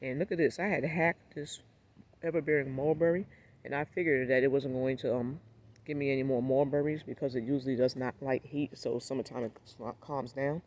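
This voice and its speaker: A man with a raspy, hoarse voice from a cold, giving a laid-back, rambling walkthrough of his backyard garden and talking straight to his viewers. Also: mid-range voice, fairly even intonation, fairly quick, a little mellow. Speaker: gardening vlogger. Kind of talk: casual walkthrough vlog.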